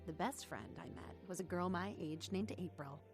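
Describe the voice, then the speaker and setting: A woman's voice: fairly low pitch, normal speed, over music, close to an everyday voice. An actress playing an earnest young woman who reflectively narrates her testimony in a radio drama.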